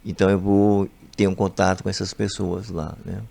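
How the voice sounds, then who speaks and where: A man's voice: low voice, little pitch movement, moderate pace, gravelly, dark tone. A Brazilian man, an author, answering radio interview questions in an explanatory way, often stressing that things are very important. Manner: explanatory, repeats emphatic phrases. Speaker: author and guest. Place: radio studio.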